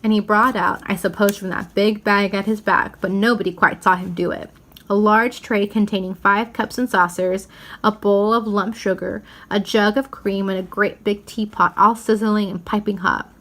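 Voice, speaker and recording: A woman reading a children's book aloud as narrator, in an expressive storytelling style. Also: medium pitch, moderate pace. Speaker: story reader.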